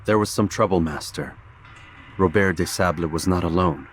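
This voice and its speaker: A man voices a proud, curt assassin in a video game. His voice is very deep and his delivery cool and restrained. Faint background noise sits behind the speech. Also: normal speed, natural voice. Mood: subdued. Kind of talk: dramatic video game acting.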